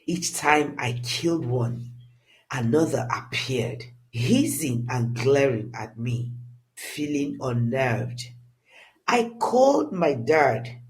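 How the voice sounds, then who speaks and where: A narrator telling a scripted first-person story in a dramatic, deliberate and expressive way. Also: medium pitch.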